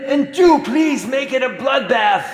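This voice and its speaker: A flamboyant, bloodthirsty film director villain, pitched extremely high for a male voice. He sneers with drawn-out theatrical politeness and swings widely up and down, echoing through a long hall as a campy game villain. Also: expressive. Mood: gleefully sinister.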